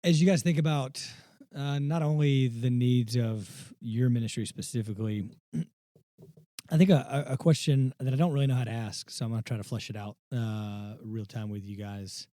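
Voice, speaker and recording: A man's voice: medium pitch, moderate pace, mellow, no echo, clean studio sound. A man hosting a podcast, thinking through his questions aloud. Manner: thoughtful, feels out his questions. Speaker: podcast host. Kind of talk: casual conversation.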